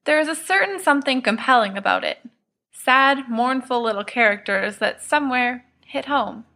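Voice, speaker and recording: A woman's voice: sits high, animated, normal speed, pushed and bright. A woman reading scripted fiction aloud as an audiobook narrator. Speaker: podfic reader, narrator.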